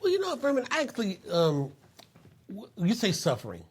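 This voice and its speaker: A man's voice: high-pitched, huge pitch swings, a little fast, husky. A man in his fifties hosting a casual podcast, telling stories with frequent laughs and lots of "you know". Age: 50s. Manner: storytelling, laughs often, says "you know" a lot. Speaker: podcast host.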